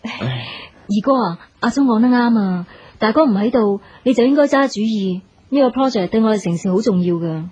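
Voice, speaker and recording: An actress playing a sensible, soothing sister in a family business radio drama, with a Hong Kong Cantonese accent. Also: female voice, on the high side, animated, fairly quick, a little mellow, breathy edge, lo-fi sound. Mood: anxious, persuasive.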